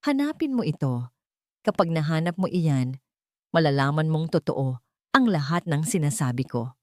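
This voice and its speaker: A woman's voice: low-pitched, animated, normal speed, dry recording. Sad, pleading street kid, done by female storyteller, earnest audio-drama read, very dry. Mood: tearful, urgent. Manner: earnest, plaintive. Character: sad, pleading street child mourning an older sister.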